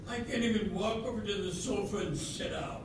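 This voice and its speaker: Man acting grumpy grandfather, high-pitched, blustery, emphatic, readers theatre, very reverberant noisy room. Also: a little fast, close to an everyday voice. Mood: grumbling. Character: grumpy old-fashioned grandfather, exasperated and blustering. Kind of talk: amateur readers theatre performance. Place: senior center hall, live performance. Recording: echoey hall, distant mic, noisy room.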